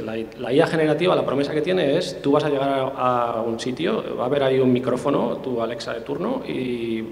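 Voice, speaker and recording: A man's voice: mid-range voice, vocal fry, a little mellow. A man from explaining things as an interview guest, talking thoughtfully with frequent hesitations and restarts. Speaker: interview guest, tech specialist. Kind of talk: conversational explanation. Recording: roomy hall with long reverb.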